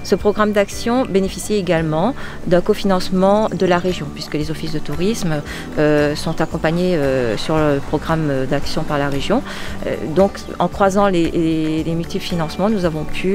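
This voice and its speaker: A woman explaining in an interview as a tourism office representative, halting and correcting herself. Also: low-pitched. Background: music.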